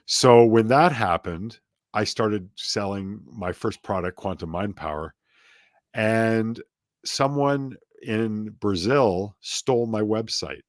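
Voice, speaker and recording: A middle-aged man with a very low voice talks as a guest in a casual interview. He drifts onto tangents and pokes fun at himself. His voice sounds close and dry, with no room in it. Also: slow pace, no echo. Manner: rambling, self-deprecating, prone to tangents.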